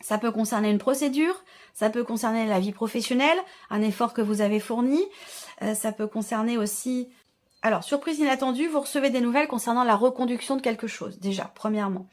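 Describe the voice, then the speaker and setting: A woman with a fairly high voice hosts a tarot reading. She talks informally to her viewers, thinking aloud and often rephrasing as she interprets each card. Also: brisk. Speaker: tarot reader and channel host.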